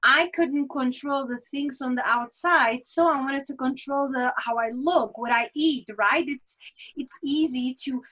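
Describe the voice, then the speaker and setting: High-voiced woman. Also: measured pace, pushed and bright. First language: Czech. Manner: earnest, reflective, with rhetorical asides. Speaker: guest. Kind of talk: candid personal conversation.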